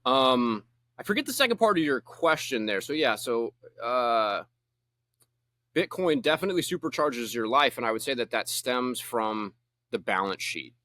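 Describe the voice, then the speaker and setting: A man from the United States, a podcast guest, explaining his ideas in an energetic conversational way and rising in emphasis on his key points. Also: medium pitch, moderate pace, no room sound, studio quality. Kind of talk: conversational interview answers.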